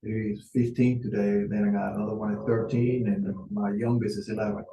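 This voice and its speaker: A Venezuelan-born man in his forties talks casually on a video call, pausing often and filling gaps with hesitant fillers. His voice sits low and mellow. The sound is roomy, with a faint hum behind him. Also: flat delivery. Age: 40s. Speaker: client on a sales call. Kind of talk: casual conversation.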